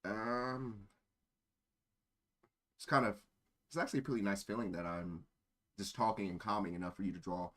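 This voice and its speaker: A man's voice: on the low side, steady tone, normal speed, long pauses, a bit bright. A man streaming casual chat in a rambling, easily sidetracked way. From born in Germany. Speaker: live streamer.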